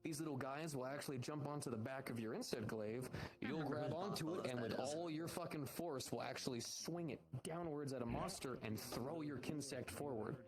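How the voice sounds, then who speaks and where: A man's voice: mid-range voice, normal speed, crowd noise, natural voice, poor audio. A man improvising an encouraging veteran monster hunter in virtual reality roleplay, explaining things to a rookie and repeating phrases for emphasis. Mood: instructive, casual. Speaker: roleplayer playing a guild instructor. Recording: loud music behind a livestream voice chat. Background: loud music.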